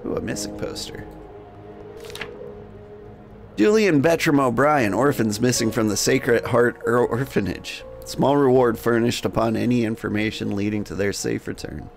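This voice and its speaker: A man hosting a game livestream, switching between jokey, rambling chat and reading game text aloud in a gravelly voice. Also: mid-range voice, moderate pace, hardly any pauses. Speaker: game streamer and host. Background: music.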